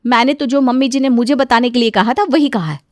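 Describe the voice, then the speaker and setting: A woman's voice: on the high side, quick pace, dry recording, clean studio sound, natural voice. A woman voicing an outspoken, fed-up younger daughter-in-law in a moral story, with a grumbling, sarcastic manner. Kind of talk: acted dialogue in a moral story.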